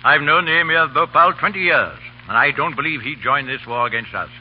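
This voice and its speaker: An actor playing a stern, commanding army colonel with clipped military speech in a 1930s radio drama. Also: male voice, fairly high pitch, brisk, breathy edge. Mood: assured. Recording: dull, hissy 1930s radio recording.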